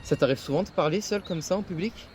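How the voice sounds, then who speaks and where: A man's voice: high voice, quick pace, darkish tone, slight background noise, natural voice. A man voicing a cheerful, teasing teenage friend who chats playfully. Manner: playful, chatty.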